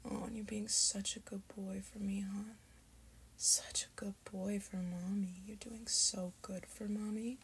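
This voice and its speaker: Woman voicing a slow, whispering, seductive mature succubus. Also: medium pitch, flat delivery, a little hiss or hum, lightly acted. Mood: tender, praising. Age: adult. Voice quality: breathy, mostly whispered. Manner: slow, coaxing, soothing endearments. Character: seductive, doting mature succubus mother figure. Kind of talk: breathy whispered roleplay audio, ASMR.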